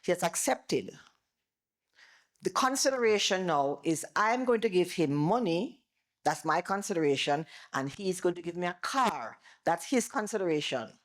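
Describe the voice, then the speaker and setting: Loud-voiced Jamaican woman lecturing. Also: fairly low pitch, normal speed. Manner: loud, animated lecturing with worked examples. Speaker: attorney and former bar president giving a seminar. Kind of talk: seminar presentation. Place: seminar room before an audience. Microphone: microphone she moves away from.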